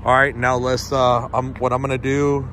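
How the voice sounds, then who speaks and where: A man of average pitch talks casually to his viewers, calling them "guys" as he reads numbers off the screen. He is outdoors at night and films on a handheld phone. Passing cars and street noise come through clearly behind him. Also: moderate pace. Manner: relaxed, reads out speed test numbers, addresses viewers as guys. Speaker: tech vlogger testing phone networks. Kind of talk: casual on-the-spot commentary to viewers. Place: outdoors on a city street at night near a stadium. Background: passing traffic and street ambience.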